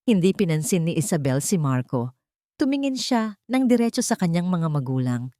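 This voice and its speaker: A woman's voice: lowish voice, sing-song, moderate pace, dark tone, no room sound, clean studio sound. A woman reading a scripted love story as a dramatic narrator.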